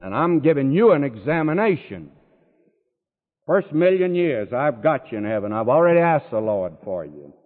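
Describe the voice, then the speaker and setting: A man's voice: medium pitch, sing-song, moderate pace. A man preaching a sermon dramatically, his voice rising when he quotes scripture, recorded long ago. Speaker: pastor. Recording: echoey hall, tinny old recording.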